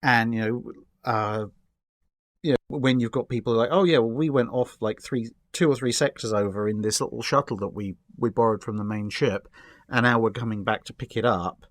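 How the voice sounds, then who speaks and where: A man's voice: medium pitch, moderate pace, no room sound. A man chatting casually and discursively, with a wry streak and a taste for hypothetical examples. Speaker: guest answering history and starship questions.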